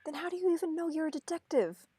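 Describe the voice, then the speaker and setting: A woman's voice: very high voice, animated, fast talker, warm tone, no room sound. A woman playing a skeptical, exasperated young man in a script table read, with a blunt delivery. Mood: incredulous.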